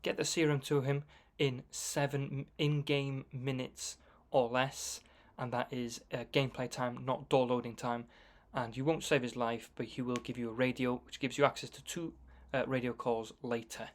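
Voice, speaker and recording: A man's voice: medium pitch, little pitch movement, normal speed, few pauses. A man narrating an explanatory voice-over commentary on a video game, as a gaming video commentator.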